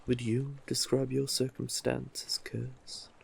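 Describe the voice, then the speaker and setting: A man's voice: mid-range voice, flat delivery, vocal fry, slightly rough, exaggerated voice. A man voicing a cunning male sea witch who coaxes and teases the listener with condescending endearments in a scripted roleplay audio drama. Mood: coy, probing. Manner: slow, teasing, condescending endearments, deliberate pauses. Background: ambient sound effects.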